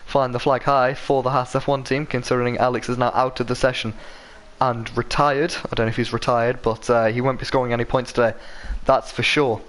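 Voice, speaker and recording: A man giving live commentary on an online racing league, his voice croaky from a sore throat, rambling a bit and correcting himself with plenty of ums and uhs. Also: mid-range voice, level tone, a little fast, fairly bright tone. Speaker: race commentator.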